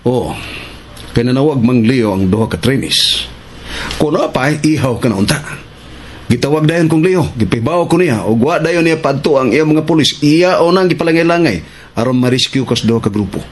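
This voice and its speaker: A man's voice: mid-range voice, animated, normal speed. A man playing a menacing, commanding crime boss in a radio action drama. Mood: stern, threatening. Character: menacing male crime boss, threatening his underling. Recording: clearly noisy background.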